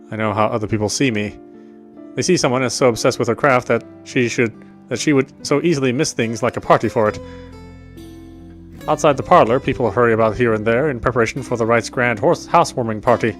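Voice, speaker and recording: A man's voice: medium pitch, a bit bright. A man reading visual novel narration aloud as a let's player, sometimes stumbling and rereading phrases.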